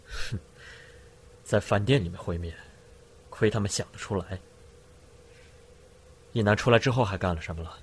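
A man plays a brooding smuggler boss in a radio drama. His voice is very low and restrained, heavy with suspicion and grief, over audible background noise. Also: normal speed, pauses often, natural voice. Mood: cold, guarded. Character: brooding male drug-smuggler boss in love, grieving and suspicious. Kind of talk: amateur Chinese audio drama acting. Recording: hissy amateur home recording.

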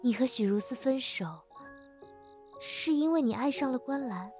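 A woman playing a timid, lovestruck young woman in a Chinese audio drama, earnest, anguished and halting. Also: sits high, animated, a little mellow. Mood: nervous, pleading. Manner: hesitant, halting, emotional. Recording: music behind the voice.

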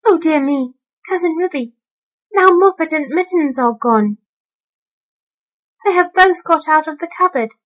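Lady doing a weepy worried mom cat, high and fretful. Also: unhurried, long pauses. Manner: fretful lamenting. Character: anxious fussy mother cat, tearful.

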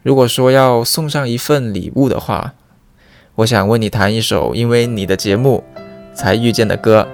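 A man's voice: mid-range voice, normal speed. A young man giving a heartfelt, sincere personal message in the manner of a radio presenter. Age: young adult. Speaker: radio programme presenter sending wedding wishes. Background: guitar music.

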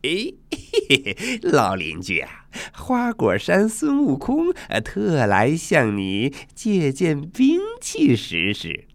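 A brash, mischievous monkey king from a storybook, acted out by a man. His pitch leaps up into excited yelps and drops again, full of cocky giggles and exclamations. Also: slow, vocal fry, dry recording, exaggerated voice. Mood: cheeky.

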